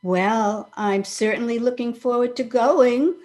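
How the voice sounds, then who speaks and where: A woman's voice: on the high side, measured pace, a little mellow, close to an everyday voice. A woman playing a wry, proud sweetheart in an old-time radio comedy, with a dry, pointed delivery. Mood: pleasant, welcoming. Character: wry, proud woman being jilted, quietly cutting.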